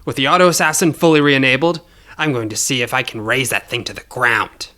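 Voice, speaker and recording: A man's voice: mid-range voice, steady tone, a little fast, sharp tone, natural voice. A man voicing a cocky, bloodthirsty young cyborg bounty hunter in an audio story, with a swaggering manner. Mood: gloating.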